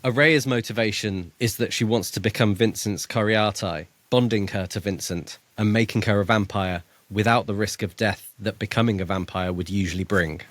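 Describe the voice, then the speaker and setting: A man's voice: on the low side, normal speed, few pauses, no room sound. A man co-hosting a book podcast, talking through a story in a casual way.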